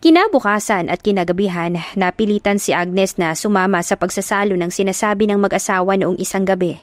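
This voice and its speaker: A woman narrating a romance audiobook in a steady storytelling voice, on a close studio mic. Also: medium pitch, fairly quick, dry recording.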